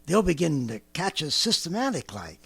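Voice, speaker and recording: An actor playing an embittered, cocky militiaman with a husky voice in an old-time radio drama, ranting in folksy, colloquial speech. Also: on the high side, sing-song, moderate pace, fairly bright tone, heavily acted. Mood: grimly explaining. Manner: folksy, colloquial, fervent ranting with sardonic chuckles. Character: embittered, cocky militiaman survivor scheming after an invasion.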